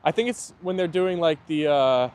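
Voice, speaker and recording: Man, friendly stream host, slightly high voice, loose chatty delivery outside. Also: moderate pace, darkish tone, clear, resonant tone. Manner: friendly, chatty, thanks viewers often. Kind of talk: casual live chat reading viewer comments.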